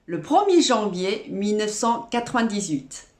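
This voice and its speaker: A woman giving a scripted language lesson as a teacher, in a didactic, deliberate way, slowly enunciating repeated example phrases. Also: mid-range voice, big pitch swings, loud music behind the voice. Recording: roomy, echoing voice recording.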